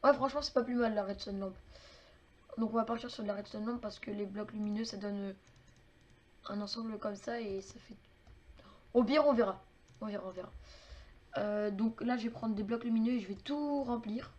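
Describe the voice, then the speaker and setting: A woman's voice: medium pitch, a little mellow. A woman narrating a casual game tutorial while building, chatty and full of fillers and little clicking sounds.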